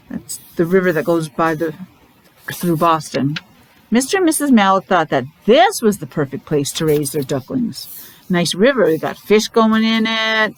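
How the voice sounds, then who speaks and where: A woman's voice: mid-range voice, a little hiss or hum, low-quality recording. A woman teacher reading a picture book aloud to young children, explaining it simply in short, repetitive asides. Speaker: preschool or special-needs teacher.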